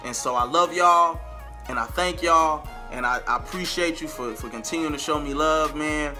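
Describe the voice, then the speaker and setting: A man's voice: fairly high pitch, moderate pace, bright, forceful tone, soft background music. A man telling a personal story to his viewers in a casual, emphatic way, repeating phrases for emphasis. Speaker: vlogger and R&B singer.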